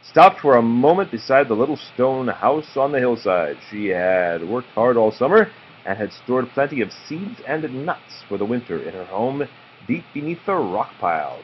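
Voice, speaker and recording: Man, fatherly storybook read-aloud, expressive, animated pitch, dull home recording. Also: moderate pace, slightly rough. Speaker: father reading to a child. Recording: muffled, faintly noisy home recording.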